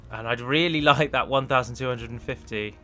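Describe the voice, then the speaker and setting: A man with a bright, forward voice chats through a gaming let's play in a casual, self-deprecating way. His pitch sits in the middle range. He is close on the microphone, with music playing behind him. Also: fairly quick. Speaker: gaming video host and mod developer.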